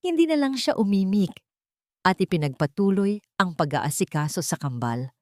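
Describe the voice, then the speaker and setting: A woman reading a scripted dramatic story in a solemn, emotive manner with deliberate pauses. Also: mid-range voice, big pitch swings, a little mellow, dry recording, studio quality. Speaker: audio drama narrator.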